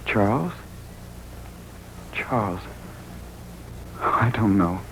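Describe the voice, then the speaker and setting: An actor playing a dazed, frightened man who has lost his memory, in an overwrought, halting 1940s radio drama style. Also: fairly low pitch, expressive, fairly bright tone, heavily acted. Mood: subdued, wondering. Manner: agitated, halting, overwrought delivery. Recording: muffled, hissy 1940s radio recording.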